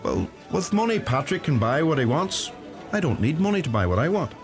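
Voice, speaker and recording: An actor playing a gentle, thoughtful young farmer with a Donegal Irish accent in a radio play. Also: male voice, a little high, big pitch swings, a little fast. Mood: reflective. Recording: music under the voice.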